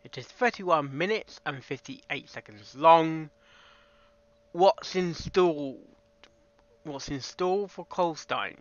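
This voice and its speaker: A man's voice: a little high, animated, takes it slowly. A man hosting a casual reaction, making offhand comments and echoing phrases he hears. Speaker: reaction host. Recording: dull lo-fi audio, music behind.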